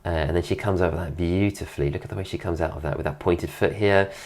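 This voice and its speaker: A man with a very low, deep voice and a stuffy, congested nose, still getting over an illness. He talks in a relaxed voice-over commentary and keeps praising details in an admiring way, close to the mic. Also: fast. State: recovering from illness, voice deeper than usual. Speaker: dance teacher reviewing a dance video.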